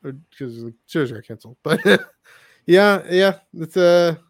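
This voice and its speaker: A man's voice: on the high side, animated, dry recording, studio quality. A man co-hosting a podcast in casual discussion, rambling and often cutting into his own sentences. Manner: halting, self-interrupting, rambling. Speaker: podcast co-host. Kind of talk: casual discussion of franchise books and comics.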